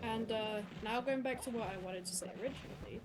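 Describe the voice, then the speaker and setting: Young woman rambling on stream from home, kinda high voice, music going loud behind her. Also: a little fast. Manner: rambling, chatty, with frequent fillers and laughs. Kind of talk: casual chat on a live stream. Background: music playing.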